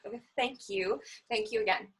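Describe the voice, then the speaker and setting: A woman's voice: medium pitch, moderate pace, a bit bright, slight background noise, natural voice. An actress playing a timid, stammering, over-grateful young woman writer in a stage play. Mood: nervous, grateful. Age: young adult.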